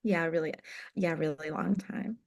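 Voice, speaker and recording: A woman's voice: lowish voice, steady tone, unhurried, vocal fry. A woman in her thirties, a jazz singer, chatting in a podcast interview, with lots of fillers and easy laughs. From Oklahoma, USA. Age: 30s. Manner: chatty, warm anecdotes with many fillers and laughs.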